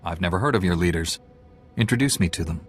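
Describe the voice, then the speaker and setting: An actor playing a calm, confident young Jedi knight in a video game, direct and courteous. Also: male voice, sits low, fast talker. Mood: firm. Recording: music behind the voice.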